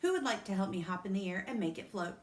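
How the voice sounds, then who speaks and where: A woman's voice: medium pitch, expressive, fast, echoey room, close to an everyday voice. A woman reading aloud, voicing a spoiled, showy rich little girl who brags in a sing-song way. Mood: showing off.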